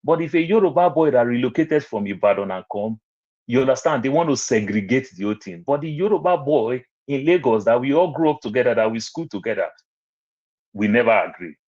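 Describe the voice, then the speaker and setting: A man's voice: medium pitch, lively intonation, fairly quick, a little mellow. A man with a Nigerian accent, rambling through personal stories on an online panel. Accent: Nigerian English. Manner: rambling, storytelling, repeats phrases. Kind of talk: casual online panel talk. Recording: muffled lo-fi online audio.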